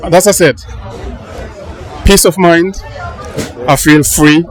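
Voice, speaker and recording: A man answers questions outdoors in a halting, word-searching way, restarting his sentences. A faint crowd murmurs behind him. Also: a little high, a little hiss or hum. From Angola. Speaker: interviewee, funeral visitor. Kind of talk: casual street interview. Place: outdoors at a crowded palace funeral.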